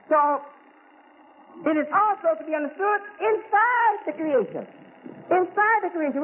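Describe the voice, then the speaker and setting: An American man giving a religious lecture as an Islamic teacher, asking rhetorical questions and repeating key words. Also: very high-pitched. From United States. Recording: tinny phone-like recording with faint hiss.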